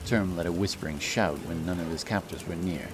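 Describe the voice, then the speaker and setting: A man narrating a fantasy audiobook in a solemn, measured storytelling style. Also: sits low, fairly even intonation, background noise. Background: music and rain sound effects.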